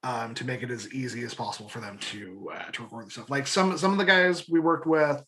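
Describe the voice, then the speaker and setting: A man in a casual interview, talking as a creative guest. He rambles in a halting way, doubling back on words and restarting sentences. His delivery is informal and enthusiastic, at an average male pitch. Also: a bit bright. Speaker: guest, audio drama creator.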